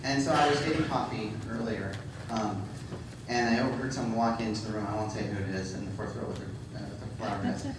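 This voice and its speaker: A man's voice: lowish voice, fast. Man joking at a mic in an echoey hall. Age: middle-aged. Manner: self-deprecating joking, many ums. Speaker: conference speaker. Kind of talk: humorous public talk. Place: large hall with audience. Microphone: handheld microphone. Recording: echoey hall, distant mic, background noise.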